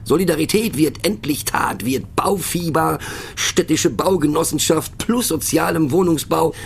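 An actor playing a pensive, mocking narrator in a radio play, musing rhetorically on abstract words and addressing the listener in wry asides. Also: male voice, a little high, a little slow, creaky, a little hiss or hum. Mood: ironic, declaiming.

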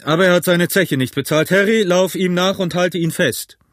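A man voicing a big, shrewd, jovial tavern keeper in an audiobook, hearty, loud and commanding. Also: medium pitch, unhurried, dry recording, studio quality, lightly acted. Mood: loud, indignant. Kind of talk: acted audiobook character.

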